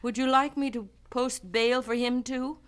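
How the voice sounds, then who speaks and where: A woman's voice: on the high side, fairly even intonation, a little slow, clear, resonant tone, natural voice. A woman as a guilty young widow. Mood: earnest. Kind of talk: 1970s radio drama acting.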